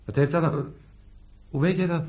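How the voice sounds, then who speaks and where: An actor playing a puzzled, hesitant married carpenter new to the place in a radio drama, halting and questioning. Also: male voice, on the high side, fairly even intonation, darkish tone, close to an everyday voice. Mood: uneasy, bewildered.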